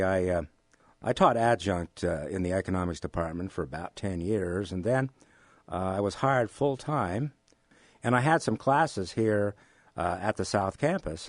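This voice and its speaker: A mature American man answers interview questions like a lecturer. His voice sits fairly low, and he explains deliberately, repeating points for emphasis. The sound is dry, with very little room on it. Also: dry recording. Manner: deliberate, professorial, emphatic repetition. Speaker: economics professor, interview guest. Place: radio studio.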